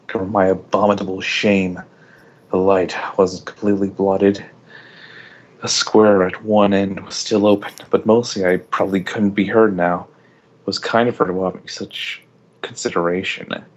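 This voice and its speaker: A man giving an amateur, melodramatic reading of story narration, stumbling over words now and then. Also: sits low. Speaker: fan fiction reader. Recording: dull lo-fi home recording.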